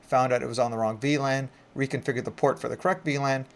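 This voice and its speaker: A man's voice: medium pitch, steady tone, quick pace, vocal fry. A man presenting an informal, conversational tech tutorial, explaining things step by step as he demonstrates. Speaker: IT instructor and presenter. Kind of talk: casual screen-recorded tutorial narration.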